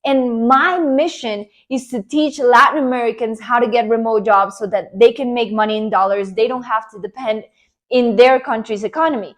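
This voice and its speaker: Motivational Colombian woman, rather high voice. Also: steady tone, normal speed. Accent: Colombian Spanish. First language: Spanish. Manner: earnest, encouraging, emphatic with repeated promises. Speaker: online career coach. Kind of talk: motivational talk to camera.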